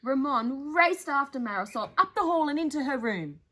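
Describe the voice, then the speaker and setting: Animated woman reading a children's storybook. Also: sits high, moderate pace. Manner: playful, theatrical, with dramatic emphasis. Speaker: storytime presenter reading children's picture books. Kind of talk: animated picture-book reading with chatty asides to young listeners.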